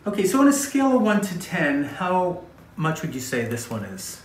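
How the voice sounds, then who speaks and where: A man's voice: high voice, wildly swooping pitch, moderate pace, slight background noise. A man in his fifties, a chiropractor, casually and reassuringly explaining and asking questions as he works on a patient. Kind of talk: explaining and questioning during a hands-on treatment. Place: small indoor treatment room, behind a face mask. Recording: echoey room.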